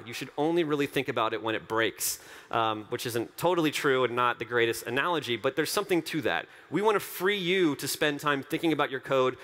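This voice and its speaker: A man's voice: medium pitch, fairly bright tone. A man in his thirties giving an earnest, enthusiastic conference keynote on a large stage, speaking into a headset mic and repeating phrases for emphasis. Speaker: company co-founder giving a keynote.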